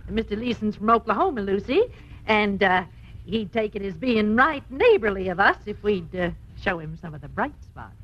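Woman doing wisecracking man-hungry aunt, old radio comedy, really animated, dull lo-fi sound. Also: medium pitch, normal speed, close to an everyday voice. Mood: eager, coy. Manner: arch, wisecracking. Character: wry, restless, man-hungry middle-aged aunt. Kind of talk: 1950s radio comedy acting. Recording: dull, muffled 1950s radio recording.

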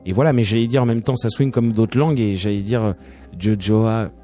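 Low mellow man chatting as music guest. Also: brisk. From France. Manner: relaxed, chatty, many restarts and "tu vois". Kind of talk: casual interview talk.

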